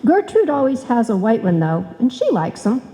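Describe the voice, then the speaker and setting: A woman's voice: a little high, sing-song, moderate pace, warm tone. An actress playing a sweet, naive, scatterbrained young woman in an old-time radio comedy. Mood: cheerfully naive. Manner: naive, guileless. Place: live stage performance in a hall. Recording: live recording in reverberant hall.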